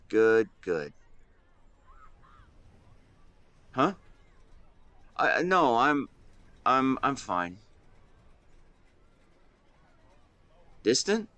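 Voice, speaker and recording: A man's voice: medium pitch, fairly bright tone, clean tone, no echo, exaggerated voice. A man in his twenties playing a devoted, protective and secretly lovesick best friend in a conversational audio roleplay, earnest and playful with some hesitation. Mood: flustered, hesitant. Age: 20s. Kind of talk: intimate one-sided roleplay acting, conversational.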